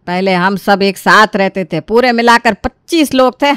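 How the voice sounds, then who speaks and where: A woman's voice: medium pitch, normal speed, a bit bright, clean tone, no room sound, studio quality, voice pushed down, lightly acted. A woman voicing a bossy, sarcastic older mother-in-law who scolds and nags in a dramatised story. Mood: grumbling, scolding.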